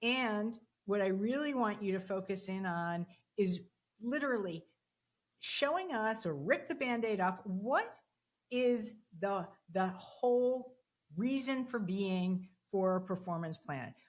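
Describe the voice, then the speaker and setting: A woman's voice: medium pitch, lively intonation, slow. A woman hosting a podcast interview in a chatty, casual way, with fillers and repeated words. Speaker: podcast host. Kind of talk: conversational interview.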